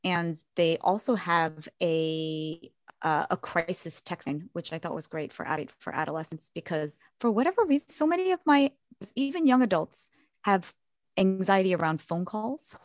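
A woman clinician speaks in a lowish voice, measured and halting, with frequent fillers and restarts. The recording is dry and close, with no room sound. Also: lively intonation, no room sound. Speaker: child and adolescent mental health clinician. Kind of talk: casual podcast interview.

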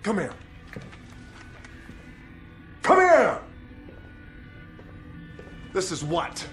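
A forceful soldier in a sci-fi horror movie, barking demands with authority. His pitch swings widely, and film music plays clearly behind him. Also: wildly swooping pitch, drawn-out words, heavily acted. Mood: urgent, commanding. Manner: commanding, profane. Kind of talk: film acting.

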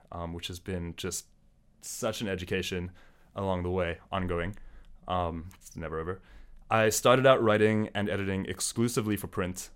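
Young south african guy hosting a podcast, deep voice, earnest, trips on words now and then. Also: clean tone. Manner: earnest, with slips and self-corrections. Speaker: podcast host. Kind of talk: scripted podcast intro monologue.

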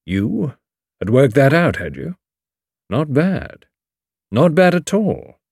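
Man acting out a commanding, dry English lawyer, lively pitch. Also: gravelly, breathy edge, no echo, heavily acted. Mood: keen, thoughtful. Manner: measured, precise, formal, dry. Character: shrewd, commanding older English male lawyer, grave and dry. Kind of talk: audiobook character dialogue.

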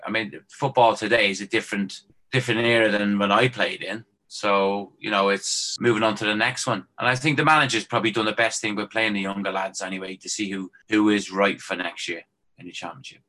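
A man's voice: on the low side, steady tone, a little fast, sharp tone. An Irish man, a former footballer, chatting casually and joking as a guest on a phone-in show. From Ireland. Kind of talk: casual chat.

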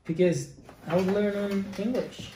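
A man's voice: high-pitched, steady tone, measured pace. A man with a Spanish accent chatting and bantering casually as a podcast co-host. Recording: echoey room with faint noise.

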